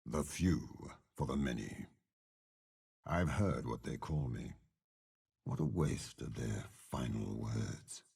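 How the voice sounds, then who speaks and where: An actor playing a cold, cunning old warlord general in a video game, gravelly and commanding, with measured, disdainful delivery. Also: male voice, very deep voice, darkish tone, heavily acted. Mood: grim, disdainful. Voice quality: gravelly, husky. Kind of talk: dramatic game character voice lines.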